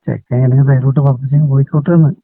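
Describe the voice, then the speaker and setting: Husky man on a tinny phone line. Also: mid-range voice, little pitch movement, racing. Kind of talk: casual intimate phone chat. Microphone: telephone call. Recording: tinny phone call, echoey.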